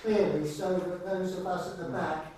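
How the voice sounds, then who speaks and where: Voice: medium pitch, steady tone, normal speed, dark tone. A person making a polite, formal request from the audience at a meeting. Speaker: member of the audience. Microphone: distant camera microphone. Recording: echoey room, far off, faint noise.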